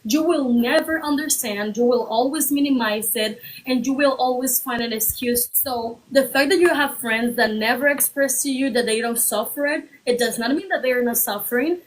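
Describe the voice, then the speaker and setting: Woman arguing steadily, slightly high voice. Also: low-quality recording. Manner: steady, deliberate, builds points by repetition. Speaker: livestream guest. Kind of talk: earnest argument on a livestream.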